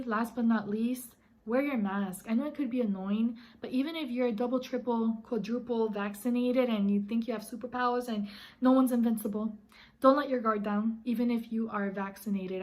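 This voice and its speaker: A woman speaking to her viewers in a casual vlog, her voice a little raspy and hoarse from recent illness. She sits fairly high in pitch and keeps an earnest, encouraging tone, calling her audience "guys.". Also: darkish tone. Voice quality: slightly raspy. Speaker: vlogger.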